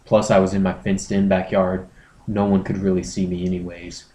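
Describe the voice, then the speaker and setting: Male reader as shameless online confessor: lowish, hushed, straight-faced dramatic reading, roomy recording. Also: level tone, fairly quick, natural voice. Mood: deadpan confession. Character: earnest, shameless male forum poster confessing. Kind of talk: dramatic reading of a forum post. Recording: roomy echo, background noise.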